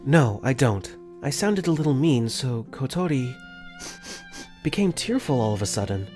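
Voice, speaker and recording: A young man voicing an earnest, caring dorm caretaker for a fan dub, gently narrating his own thoughts in first person. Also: mid-range voice, very animated, normal speed, lightly acted. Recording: faint music under the voice.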